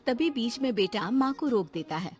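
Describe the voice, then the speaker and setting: A woman storyteller narrates a scripted tale in a dramatic style. Her delivery is expressive and varied in melody, with soft music behind her. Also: fairly high pitch, normal speed.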